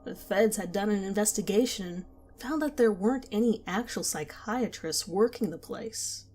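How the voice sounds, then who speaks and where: A woman narrating a scripted horror story in a slow, measured, intimate way. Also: mid-range voice, lively intonation, darkish tone, faint music behind.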